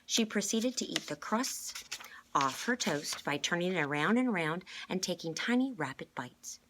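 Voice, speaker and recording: Female storybook reader, low-pitched and husky, narrating aloud. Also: lively intonation, moderate pace, fairly bright tone.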